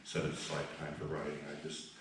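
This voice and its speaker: Deep-voiced, hesitant man in an echoey room. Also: monotone. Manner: hesitant, drawn-out, self-deprecating. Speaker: amateur poet introducing his work. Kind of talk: halting informal talk to an audience. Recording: echoey room, far mic, background noise.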